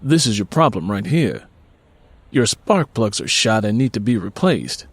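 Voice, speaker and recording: A man's voice: on the low side, big pitch swings, a little slow, slightly rough, no room sound, exaggerated voice. A man voicing a streetwise, sly handyman in an audiobook, casual, slangy and persuasive. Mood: matter-of-fact. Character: streetwise, sly male handyman sizing up a deal.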